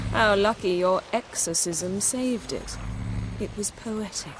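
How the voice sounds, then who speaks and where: A woman's voice: medium pitch, slow pace, noisy background, natural voice. Woman as a poised, imperious sorceress, faint ambience. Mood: teasing. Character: proud, commanding sorceress, cool and teasing, adult woman. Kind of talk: video game voice acting.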